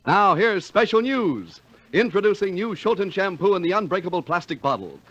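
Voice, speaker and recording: Old time radio announcer guy, slightly high pitched, polished scripted delivery, muffled recording. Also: big pitch swings, moderate pace, a bit bright. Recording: dull lo-fi vintage radio broadcast.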